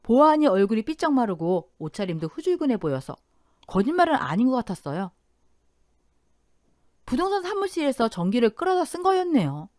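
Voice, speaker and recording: A woman's voice: a little high, a little mellow, no echo, studio quality. A woman narrating a dramatic first-person story in radio-drama style, expressively.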